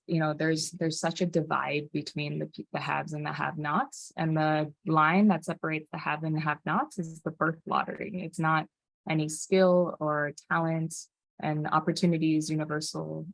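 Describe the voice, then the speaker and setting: A woman's voice: low-pitched, gravelly, dry recording. A woman from Hawaii speaking earnestly and passionately as a panelist, often restarting her sentences. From Hawaii, USA. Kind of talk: panel talk.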